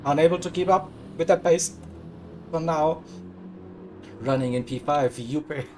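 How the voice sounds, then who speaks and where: A man commentating a live sim race, hoarse and cracking, upbeat and laughing a lot. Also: on the high side, unhurried, noisy background. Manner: upbeat, laughs often, self-mocking.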